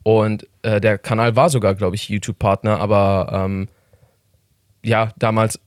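A lowish male voice with a slight Iranian accent, recorded dry and close. He talks as an interview guest about making films, pausing often with fillers and breaking into laughter. The delivery is halting and relaxed. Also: no room sound. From Iran. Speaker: guest, filmmaker and YouTuber. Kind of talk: casual interview chat.

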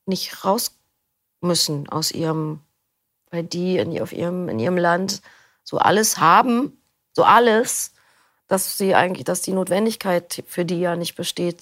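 A middle-aged woman being interviewed about narrating an audiobook, talking in a relaxed, rambling way. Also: lowish voice, gravelly. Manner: relaxed, rambling, laughs easily. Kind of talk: casual interview chat.

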